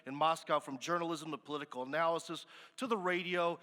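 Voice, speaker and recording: A man moderates a business forum from the stage, chatting easily and filling pauses as he goes. His voice is bright and fairly high, and it rings with the long reverb of a large conference hall. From United States. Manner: chatty, relaxed, with many fillers.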